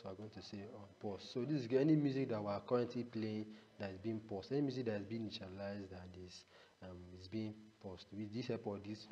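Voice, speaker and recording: A man with a fairly low voice talks through an unscripted software tutorial step by step. He repeats phrases and drops in a rhetorical "what" as he explains. The recording has long room reverb and some faint background noise. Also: dull audio. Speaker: programming tutorial instructor.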